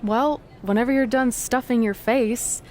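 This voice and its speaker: A woman plays a sensible young female wrestler in a game cutscene. Her voice is a little high, with faint noise behind it. She needles like a protective, wry best friend. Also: fairly even intonation, a little slow, a little mellow, natural voice. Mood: teasing. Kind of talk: video game cutscene acting.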